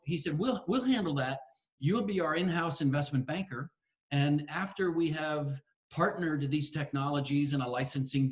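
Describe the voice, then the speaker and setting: Older man telling his career story. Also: fairly high pitch. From Connecticut, US. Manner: measured, storytelling, frequent restarts. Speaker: guest, investor. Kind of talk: video call interview. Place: spacious home living room. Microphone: laptop webcam on video call.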